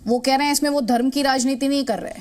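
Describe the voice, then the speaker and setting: Woman, high voice, Indian accent, news anchor, assertive, pressing, confrontational interviewing. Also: steady tone, fast talker, a little mellow. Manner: pressing, assertive, repeats questions.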